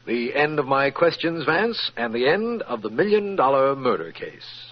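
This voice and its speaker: An earnest lawman in a 1940s-style radio mystery. He is inquisitive and puzzled, with a medium-pitched, slightly rough voice on a muffled old recording. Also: sing-song, moderate pace. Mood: puzzled, curious. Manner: inquisitive, straight-man delivery. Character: earnest, puzzled male lawman questioning his detective friend.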